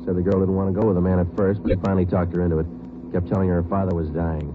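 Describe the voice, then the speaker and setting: A man playing a clipped, deadpan police sergeant. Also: low voice, rapid-fire, lightly acted. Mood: businesslike. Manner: clipped, matter-of-fact, deadpan delivery. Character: terse, steady male police detective sergeant narrating. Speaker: narrator and lead detective. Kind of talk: 1950s radio drama acting. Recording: tinny old radio broadcast with hiss.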